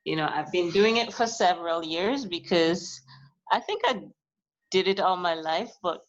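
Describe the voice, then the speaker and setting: Jamaican-born woman guest, thirties, low-pitched, unhurried halting chat, dull lo-fi recording. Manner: soft-spoken, hesitant, many fillers. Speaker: musician, guest. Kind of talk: casual interview talk. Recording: lo-fi muffled audio.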